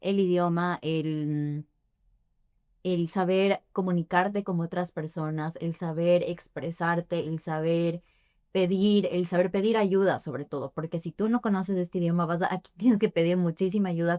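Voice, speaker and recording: Ecuadorian woman on a podcast, low-ish voice, lots of ehs, dry room sound. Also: fairly even intonation, normal speed, mellow, dry recording. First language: Spanish. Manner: thoughtful, many hesitations with "eh". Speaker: immigration forms preparer, guest. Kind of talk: podcast interview.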